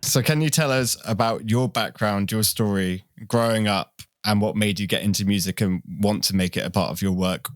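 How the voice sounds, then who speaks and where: A man's voice: lowish voice, moderate pace, hardly any pauses, dry recording, studio quality. A man hosting a podcast and interviewing in an informal, easygoing, conversational way.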